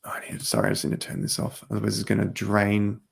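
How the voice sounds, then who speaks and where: Man, hoarse, gravelly, low-pitched, live-stream chart talk, clears his throat a lot. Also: big pitch swings, quick pace. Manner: throat clearing, rambling explanations. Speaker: crypto live stream host.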